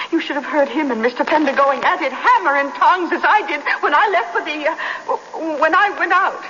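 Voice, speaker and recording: An actress playing a prim, flustered middle-aged spinster in a radio drama, stammering and over-genteel. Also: female voice, high-pitched, normal speed, bright, forceful tone. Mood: indignant. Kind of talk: 1970s radio drama acting. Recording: dull, noisy old radio broadcast, reverberant.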